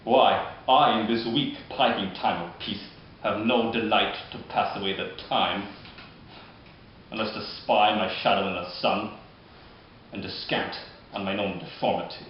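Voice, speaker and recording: A man performing a bitter, scheming deformed nobleman in a modern-style Shakespeare monologue, speaking deliberately with dramatic pauses. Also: lowish voice, fairly even intonation, lightly acted. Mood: bitter, self-loathing. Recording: dull, very echoey room, background hiss.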